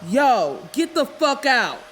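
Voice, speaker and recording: Woman performing cheating man, scornful, blunt, commanding, crude insults, raised pitch, audiobook, background noise. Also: sing-song, takes it slowly, fairly bright tone, heavily acted.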